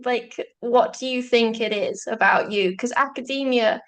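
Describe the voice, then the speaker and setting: A woman's voice: on the high side, moderate pace. A woman hosting an interview, chatty and curious, filling her questions with "like" and laughter. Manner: warm, chatty, lots of "like" and laughter. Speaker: podcast host. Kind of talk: casual interview chat.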